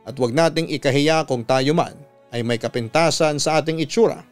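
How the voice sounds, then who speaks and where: A Filipino man hosting a radio story program, reading scripted listener letters and giving advice in an earnest, measured way. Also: on the high side, clear, resonant tone. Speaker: radio host and story narrator. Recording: music under the voice. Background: background music.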